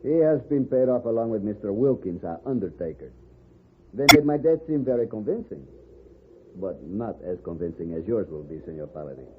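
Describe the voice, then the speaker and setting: An actor playing a suave, menacing gentleman villain in a radio western, speaking formally and deliberately with cool threat. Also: fairly low pitch, sing-song. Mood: quiet menace. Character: suave, menacing Mexican gentleman villain, scheming and coldly confident. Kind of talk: old-time radio western acting. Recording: muffled, noisy old radio recording.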